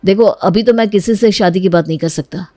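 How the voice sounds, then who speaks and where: A woman's voice: mid-range voice, a little fast, dark tone, no echo, natural voice. A woman voicing an earnest, evasive young man in a cartoon family story. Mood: earnest, firm. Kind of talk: cartoon moral-story dialogue.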